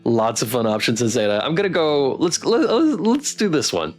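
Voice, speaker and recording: A man's voice: medium pitch, big pitch swings, moderate pace. A man streaming a game, chatting casually as he reads game text and viewer comments aloud. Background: game music.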